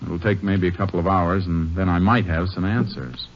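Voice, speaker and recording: A deep-voiced, methodical Texas Ranger. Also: male voice, moderate pace, very noisy. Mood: thoughtful. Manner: calm, reasoning, plainspoken. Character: steady, methodical male Texas Ranger detective. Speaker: Texas Ranger lead and narrator. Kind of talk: 1950s radio drama acting. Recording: dull lo-fi 1950s radio broadcast.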